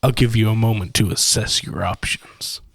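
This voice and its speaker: A man voicing a smug, menacing villain who speaks slowly and deliberately in an audio story. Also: fairly low pitch, steady tone, creaky, slightly rough, dry recording, studio quality, exaggerated voice. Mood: cold threat. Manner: slow, deliberate, softly threatening. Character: menacing smug villain who collects machines of his victims.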